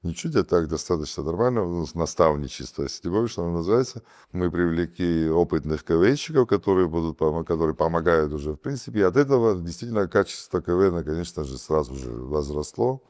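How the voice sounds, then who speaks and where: Man, Abkhaz accent, very deep voice, casual interview guest, fillers and restarts. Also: fast talker. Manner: animated, with many fillers and restarts. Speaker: guest, comedy team captain and producer.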